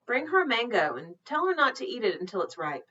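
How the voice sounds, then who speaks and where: A woman's voice: fairly high pitch, big pitch swings, fast, bright, forceful tone, recorded from a distance, dull audio, voice pushed up, exaggerated voice. A woman reading the part of a breezy, affectionate mother in a storybook, casually fussing over her child. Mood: breezy, fond.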